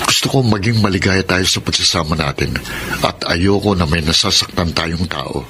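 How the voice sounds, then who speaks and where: An actor playing a devoted, anxious young boyfriend in a sentimental Tagalog radio drama. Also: male voice, low voice, normal speed, a little hiss or hum. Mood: tender, earnest. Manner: earnest, sentimental. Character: devoted, anxious young boyfriend recounting his troubled romance. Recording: radio drama with loud music bed.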